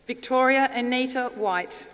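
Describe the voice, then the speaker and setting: A woman announcer formally reading out names at a ceremony in a measured manner. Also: sits high. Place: large ceremony hall. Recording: echoey hall with loud audience noise. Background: loud crowd noise.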